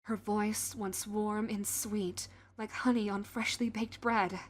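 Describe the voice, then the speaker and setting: Slow, solemn woman narrating fantasy. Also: mid-range voice, little pitch movement. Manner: solemn, deliberate, with dramatic pauses. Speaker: narrator and voice actor. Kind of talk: dramatic scripted fantasy narration. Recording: prominent music under the voice. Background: music.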